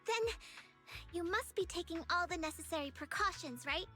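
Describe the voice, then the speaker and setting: Game role: young female martial artist, very high, bright voice, courteous and earnest. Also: normal speed, soft background music. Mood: concerned. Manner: polite, earnest, expressive. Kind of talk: anime-style video game acting.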